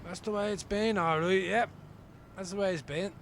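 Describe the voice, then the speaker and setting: A man acting an amiable middle-aged homeless Dubliner in a radio play, with a gravelly voice and halting, rambling manner. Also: high-pitched, a bit bright, a little hiss or hum. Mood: guarded, resigned. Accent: Dublin Irish. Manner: halting, rambling, good-natured.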